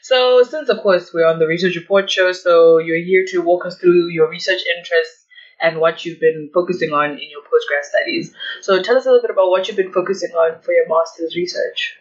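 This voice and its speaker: High-voiced man hosting a podcast. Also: a little fast. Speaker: podcast host. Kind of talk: casual interview chat. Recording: dull lo-fi audio.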